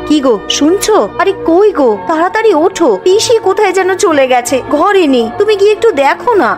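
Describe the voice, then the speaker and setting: Woman acting very high-pitched village housewife. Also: expressive, fairly quick, over music. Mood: worried. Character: busy, anxious young village housewife and mother. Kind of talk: cartoon dialogue.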